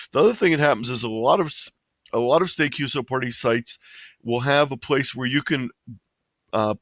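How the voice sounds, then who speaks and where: A man's voice: medium pitch, fairly bright tone, dry recording. A man explaining things informally as a webinar presenter. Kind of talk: informal instructional webinar talk. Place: indoors, online webinar.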